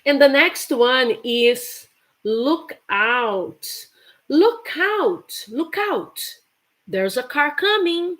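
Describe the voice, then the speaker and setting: Woman, English teacher, somewhat high voice, slow, chatty. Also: lively intonation, a bit bright. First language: Portuguese. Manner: chatty, repeats example phrases with laughs. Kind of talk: live online English lesson.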